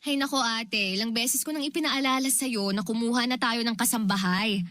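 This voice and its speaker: Actress as flippant, high-pitched lazy younger sister. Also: female voice, brisk, fairly bright tone, dry recording, close to an everyday voice. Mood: dismissive. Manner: dismissive, whiny, flippant. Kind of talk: Filipino radio drama acting.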